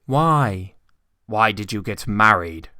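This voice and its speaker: A man voicing a gruff, miserly old businessman with a curt, scornful, growling delivery in a storybook reading. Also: fairly low pitch, expressive, slow, pushed and bright, no room sound, studio quality, full character voice. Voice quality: growling, muttering. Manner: curt, cold, dismissive.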